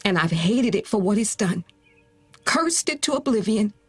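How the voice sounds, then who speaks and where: An actress playing a gentle, grieving middle-aged mother who offers consolation in a naturalistic audio drama. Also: mid-range voice, lively intonation, slightly rough, faint music behind. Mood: earnest, consoling. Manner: slow, gentle, earnest delivery with pauses. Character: grieving, consoling mother who lost her teenage daughter.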